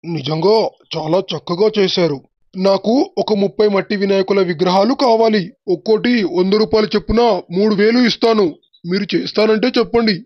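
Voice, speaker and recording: Man voicing a high-toned generous village elder. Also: brisk, no room sound, lightly acted. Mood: impressed. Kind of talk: Telugu moral story cartoon dialogue. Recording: dull, muffled track with music. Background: background music.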